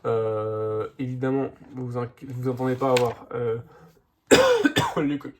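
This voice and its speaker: A man's voice: mid-range voice, sing-song, takes it slowly, a little hiss or hum. Congested man with a head cold. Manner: hesitant, many "euh" fillers and restarts. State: congested, slightly hoarse from a cold. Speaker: comics vlogger. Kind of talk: casual comics haul video.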